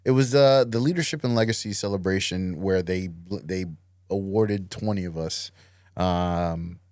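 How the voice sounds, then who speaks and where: Deep-voiced laid-back male veteran guest. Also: measured pace, darkish tone, clean tone, no echo, clean studio sound. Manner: easygoing, with frequent fillers and "you know". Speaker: podcast guest, Marine veteran. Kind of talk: casual interview conversation.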